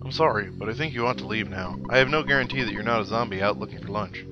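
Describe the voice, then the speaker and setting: A man's voice: on the low side, fast, gravelly. A man voicing a wary, politely guarded video game townsperson who is suspicious of strangers. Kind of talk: video game dialogue read aloud. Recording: dull lo-fi mic, game music underneath.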